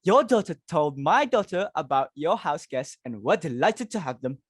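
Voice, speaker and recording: A woman's voice: deep voice, big pitch swings, normal speed, husky, dry recording, clean studio sound, heavily acted. A woman playing a flustered, imperious middle-aged society hostess and mother in a stage comedy reading, dramatic and exclamatory, repeating herself for emphasis. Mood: gushing, insistent.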